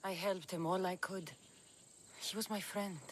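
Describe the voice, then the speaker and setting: A woman's voice: fairly low pitch, fairly even intonation, unhurried, a little hiss or hum, natural voice. An actress playing a battle-worn, loyal female mercenary in a video game, speaking tersely and sincerely. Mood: mournful. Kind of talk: dramatic game cutscene dialogue.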